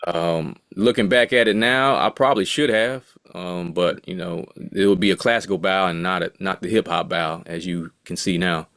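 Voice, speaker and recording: A man's voice: low-pitched, normal speed, few pauses, a bit bright, no room sound, clean studio sound. A man in his late thirties, a music producer speaking as an interview guest in a laid-back, rambling way full of asides. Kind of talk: casual interview talk.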